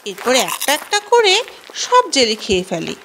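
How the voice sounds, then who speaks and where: A woman's voice: on the high side, wildly swooping pitch, moderate pace, very noisy. A woman narrating a scripted children's cartoon story.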